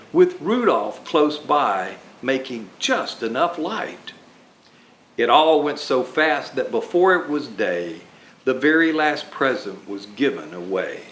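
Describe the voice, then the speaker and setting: A man reading a rhyming children's Christmas story aloud with dramatic, deliberate phrasing. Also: medium pitch, a bit bright. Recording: roomy echo, faint background noise.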